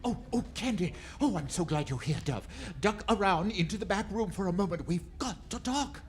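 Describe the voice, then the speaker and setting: A woman's voice: medium pitch, animated, moderate pace, a little mellow, lightly acted. A woman playing an effusive, chuckling costumed store Jack Frost in an old-time radio drama. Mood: anxious, hurried. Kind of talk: 1940s detective radio drama acting.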